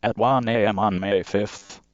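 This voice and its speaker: A man's voice: on the low side, steady tone, unhurried, no echo. A stiff, impersonal male voice reading out a formal automated alert. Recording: dull, lo-fi alert audio.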